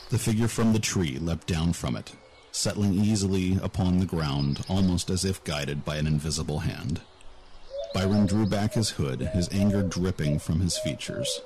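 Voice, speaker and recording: A man's voice: deep voice, background noise. A man narrating a scripted fantasy story as an audiobook narrator.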